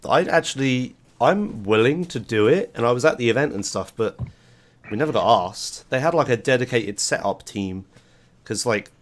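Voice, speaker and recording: A man hosting a podcast, talking loosely in a casual chat with his voice hoarse from a sore throat. He rambles, swears casually and laughs easily. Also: mid-range voice, expressive, normal speed. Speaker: podcast host.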